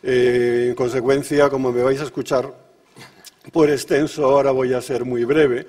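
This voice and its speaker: A man with a Castilian Spanish accent giving a welcome speech at a conference in a measured, somewhat halting way, as a museum official. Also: mid-range voice, level tone, a little mellow. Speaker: museum official introducing an event.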